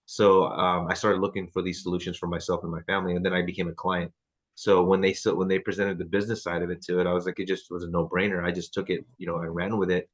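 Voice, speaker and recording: A man's voice: low voice, flat delivery, fast. A man in his thirties leading a team training over a video call, loose and chatty with lots of fillers and restarts. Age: 30s. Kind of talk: casual video call chat.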